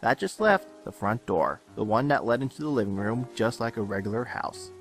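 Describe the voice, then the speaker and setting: A man reading a fan fiction story aloud in an expressive, dramatic storytelling manner. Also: mid-range voice, a little fast. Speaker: audiobook-style reader of fan fiction. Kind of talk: scripted story narration. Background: background music.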